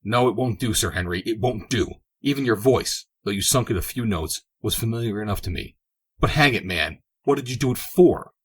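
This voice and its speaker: A man's voice: fairly low pitch, lively intonation, fairly quick, slightly rough, voice pushed up. A man doing an indignant young man. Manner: indignant and emphatic. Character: angry young man confronting a friend.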